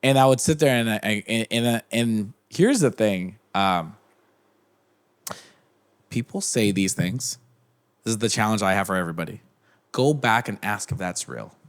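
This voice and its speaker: A man's voice: lowish voice, animated, measured pace, long pauses. A young man talking as a podcast guest in casual chat, rambling with many restarts and fillers. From United States.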